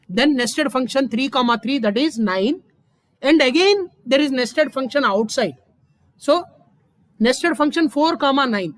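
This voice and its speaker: High-voiced woman teaching coding. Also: dry recording. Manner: steady step-by-step explaining, frequent "right?". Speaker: instructor. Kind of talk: screen-recorded coding lesson.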